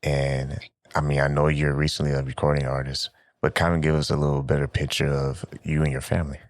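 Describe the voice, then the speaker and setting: A man hosting a radio interview in a laid-back, easygoing style. His voice sits extremely low and stays flat and even. The sound is close and dry, with no room echo. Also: a little fast. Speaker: radio show host. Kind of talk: casual interview chat.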